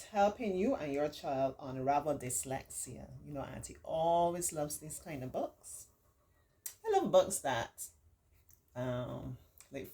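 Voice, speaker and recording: A woman reading a picture book aloud to children, with encouraging, simply explained asides and expressive, drawn-out emphasis. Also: low-pitched. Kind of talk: read-aloud story time with chatty asides to children.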